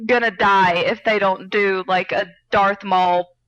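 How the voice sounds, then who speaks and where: A woman's voice: mid-range voice, steady tone, slow pace, a bit bright, lo-fi sound. A woman co-hosting a podcast, chatting casually about films. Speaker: podcast co-host. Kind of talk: casual film discussion chat.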